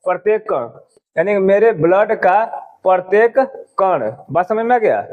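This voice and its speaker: High-pitched man teaching, dull lo-fi sound. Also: expressive. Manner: repetitive questioning, drawn-out English words. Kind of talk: classroom poem explanation.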